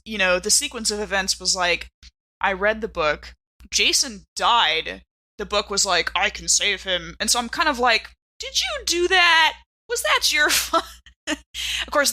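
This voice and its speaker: A woman plays a tabletop roleplaying game in a bright, forward voice. She chats casually, explains her character's actions and adds animated asides. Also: medium pitch.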